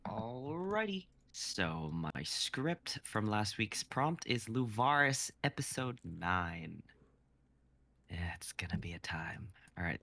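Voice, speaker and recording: A man's voice: low-pitched, sharp tone, no echo. A man in his twenties talking in a laid-back way on a casual livestream, introducing his cast. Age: 20s.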